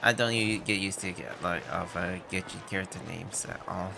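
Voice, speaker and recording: A man livestreaming a video game with casual, halting commentary in broken phrases, game music playing behind him. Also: deep voice, creaky, background noise. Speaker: game streamer.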